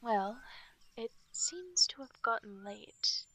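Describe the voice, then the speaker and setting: Woman as a hushed, bright-voiced wolf fairy. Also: fairly high pitch, wildly swooping pitch, slow pace, heavily acted, low-quality recording. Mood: guarded, gentle. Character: guarded, protective female wolf fairy of the forest. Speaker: ASMR roleplay performer. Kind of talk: soft ASMR roleplay, often whispered. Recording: nature ambience and birdsong noise. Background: forest sounds, birdsong.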